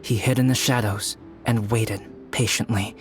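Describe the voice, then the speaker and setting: An actor voicing a composed, thoughtful young male alchemist in a game, speaking in a calm, measured, soft-spoken way. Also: on the low side, noisy background, natural voice.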